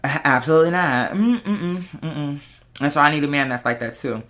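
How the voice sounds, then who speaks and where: A man's voice: on the high side, normal speed, a bit bright. A young American man giving a casual, rambling monologue in a sassy, campy manner, peppered with slang. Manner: sassy, campy slang, often addressing listener as girl. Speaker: online show host.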